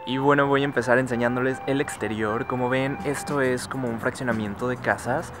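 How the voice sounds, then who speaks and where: A man's voice: mid-range voice, fairly even intonation, fairly quick, over music. A young man in his twenties with a Mexican accent, chatting casually and giggling as he gives a house tour, holding the camera at arm's length. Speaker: vlogger. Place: mobile home, indoors and outdoors. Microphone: handheld camera at arm's length.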